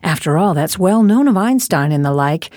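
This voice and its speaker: A woman giving scripted, measured narration for a suspenseful audio drama. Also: fairly low pitch, expressive, darkish tone, dry recording, clean studio sound.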